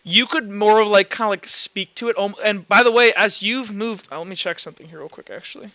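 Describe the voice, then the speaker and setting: A man narrating a tabletop roleplaying game as game master in an animated, casual, profane way. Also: high voice, fairly quick, no echo, lo-fi sound.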